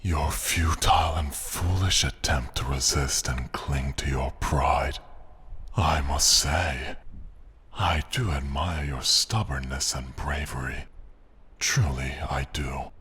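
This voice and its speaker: Very deep, slow, menacing dragon. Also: male voice, fairly bright tone, slightly rough, full character voice. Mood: condescending. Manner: slow, deliberate, condescending taunting. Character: menacing, haughty male dragon, mocking and possessive. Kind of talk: theatrical fantasy ASMR roleplay monologue.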